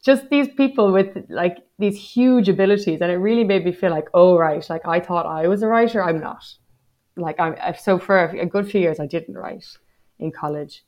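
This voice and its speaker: A woman's voice: lowish voice, normal speed, a little mellow, lo-fi sound. A woman in her thirties with a Dublin accent, a poet talking about her writing life in a casual interview, reflective and self-deprecating. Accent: Dublin Irish. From Ireland. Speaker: guest poet.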